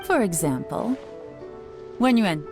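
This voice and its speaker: A woman voicing a cool, composed young intelligence chief in a video game, measured and commanding. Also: medium pitch, very animated, over music. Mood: calm, advisory.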